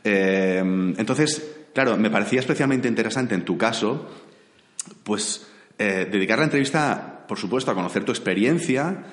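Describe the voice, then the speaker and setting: Low, slightly hoarse podcast host, echoey hall. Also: male voice, normal speed. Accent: Spanish, from Spain. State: slightly hoarse with a head cold. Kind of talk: conversational interview. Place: large borrowed event room, indoors. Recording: echoey, chapel-like reverberant hall.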